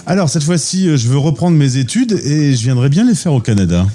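A man hosting a podcast, relaxed, asking casual questions by posing example situations in the first person. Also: on the high side, a little fast, soft background music. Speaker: podcast host.

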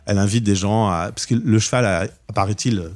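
A man's voice: fairly low pitch, moderate pace, a little mellow, faint music behind. A man hosting a radio show, chatting casually in an interview with enthusiasm and frequent stammered restarts. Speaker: radio host. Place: radio studio.

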